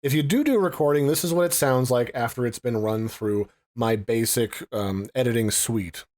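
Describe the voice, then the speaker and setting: A man's voice: mid-range voice, normal speed, a little mellow. Casual man reviewing tech gear. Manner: conversational, informal, explanatory. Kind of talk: casual unboxing and review narration.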